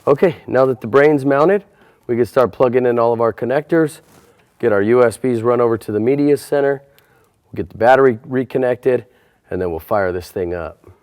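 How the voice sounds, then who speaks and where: A man's voice: mid-range voice, normal speed, darkish tone, smooth, no room sound. A man presenting an installation tutorial, talking through each step in a plain, methodical, procedural way. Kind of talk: step-by-step instructional narration.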